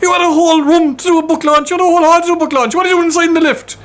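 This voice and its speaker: Man putting on high-pitched angry building manager. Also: steady tone, rapid-fire, a bit bright. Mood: indignant. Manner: scolding, indignant questioning.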